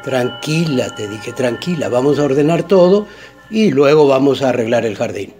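An actor playing a calm, rational husband in a radio drama, soothing and measured, with a Paraguayan accent. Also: male voice, mid-range voice, soft background music, close to an everyday voice. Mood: reassuring.

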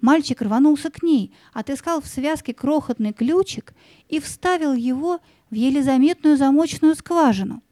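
Mellow, highish female storybook narrator. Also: normal speed, dry recording.

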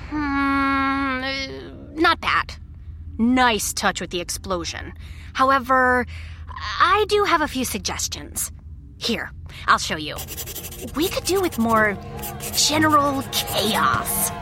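A woman playing a brash, excitable teenage girl, a shapeshifting sidekick in an audiobook drama, cheeky and casual. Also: high-pitched, slow, background noise, exaggerated voice. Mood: gleefully scheming. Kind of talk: full-cast audiobook drama.

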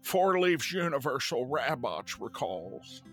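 A man's voice: mid-range voice, very animated, slow, a bit bright, faint music behind. A man voicing a flustered, folksy rabbit android in a spoof radio commercial, stammering and repeating words with an old-timey movie-star drawl. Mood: nostalgic.

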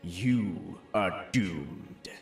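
A man's voice: deep voice, animated, extremely slow, gravelly, full character voice. A man playing a haughty, menacing villain admiral in a sci-fi audio drama, pompous and contemptuous. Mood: menacing, gloating. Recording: echoey, distant, faint music. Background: faint music.

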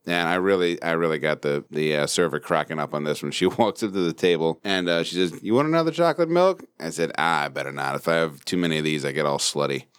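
Deep-voiced laid-back man. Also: quick pace, few pauses, fairly bright tone, smooth, no room sound. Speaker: podcast co-host. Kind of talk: casual banter, storytelling.

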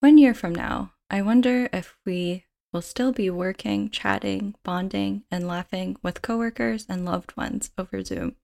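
A woman reading scripted podcast narration in a measured, reflective way. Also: mid-range voice, creaky, a little mellow.

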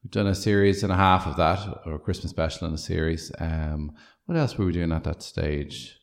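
A man who is a film producer, answering interview questions in a relaxed, rambling way with many fillers. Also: rumbling low, brisk, vocal fry.

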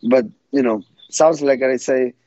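Blunt man, mellow dull sound. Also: medium pitch, unhurried, dry recording. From Ecuador. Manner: frank, blunt, storytelling with repeated restarts. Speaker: guest fighter. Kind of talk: interview answers.

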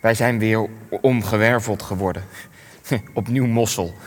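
An actor playing a weary, defensive elderly war veteran in a Dutch radio play, speaking deliberately with hesitations and rhetorical turns. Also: male voice, fairly low pitch, steady tone. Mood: bitter, reflective. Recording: long reverberant hall.

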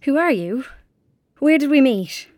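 A woman's voice: high-pitched, lively intonation, measured pace, darkish tone, dry recording, clean studio sound. A woman playing a lonely, anxious young Irish girl in an audio drama, speaking hesitantly. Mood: frightened, confronting.

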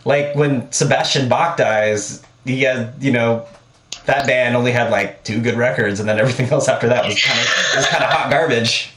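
A man hosting a podcast, asking questions in a halting way with many restarts. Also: mid-range voice, a bit bright. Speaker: podcast host. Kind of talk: casual interview. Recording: echoey room.

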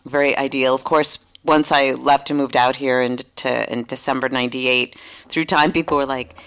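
A woman with a low voice talks casually as a guest on a podcast. Her speech wanders, filled with "like" and little acted-out quotes. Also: fairly even intonation, normal speed, a bit bright, clean tone. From United States. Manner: chatty, many "like" asides, acts out quotes. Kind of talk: casual conversation.

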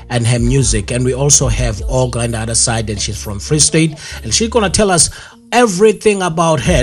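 Rambling radio host, higher voice. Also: male voice. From South Africa. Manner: rambling, filler-heavy, repeats himself. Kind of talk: live radio interview.

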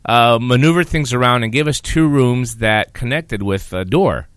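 Rambling male podcast host of Greek origin, bright tone, dry close sound. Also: mid-range voice, moderate pace, clean tone, no echo. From Greece. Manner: rambling, lots of fillers and repeats. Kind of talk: casual podcast chat.

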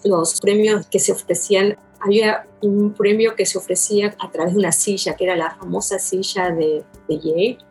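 A woman's voice: medium pitch, fairly even intonation, moderate pace, few pauses, a little mellow, husky, faint music behind, low-quality recording. A woman from Buenos Aires with a porteño Argentine accent, a literary scholar talking at length in an interview, thoughtful and elaborate, often tagging her sentences with a questioning "¿no?". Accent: Argentine porteño Spanish. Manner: thoughtful, elaborate, with frequent restarts and "¿no?" tags. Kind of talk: academic interview talk.

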